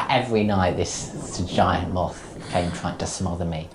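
Very deep-voiced deadpan man, echoey room. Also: unhurried. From Australia. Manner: dry, deadpan, mock-grumpy jokes. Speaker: podcast host. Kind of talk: casual comedic chat. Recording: echoey room with background noise.

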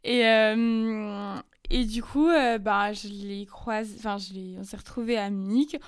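A young woman telling a personal story in casual, chatty French, full of fillers and quick asides. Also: medium pitch, steady tone, clear, resonant tone, no echo, clean studio sound. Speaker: podcast host. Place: lives in Germany.

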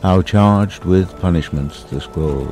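A man giving a solemn, dramatic inspirational poetry reading, slow and deliberate with long pauses. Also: low voice, mellow, soft background music. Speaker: poetry reader.

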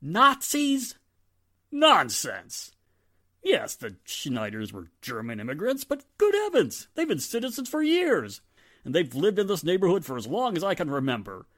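A man's voice: high-pitched, wildly swooping pitch, measured pace, a bit bright, heavily acted. A man acting a jovial, teasing, blustery small-town 1940s husband in a radio drama, jocular and full of exclamations. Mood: incredulous.

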